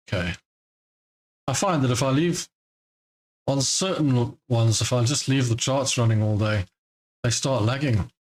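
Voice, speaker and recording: A man's voice: medium pitch, poor audio. Live-stream host, male, born in Scotland and raised in South Africa, casual rambling chat with frequent laughs. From born in Scotland, grew up in South Africa. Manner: chatty, rambling, laughs often. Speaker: trading livestream host. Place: home in Cape Town.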